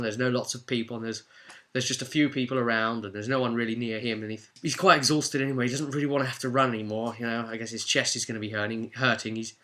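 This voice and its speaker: A man hosting and recapping a role-play game as an informal, expressive storyteller and game master. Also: medium pitch. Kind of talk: animated storytelling recap to viewers.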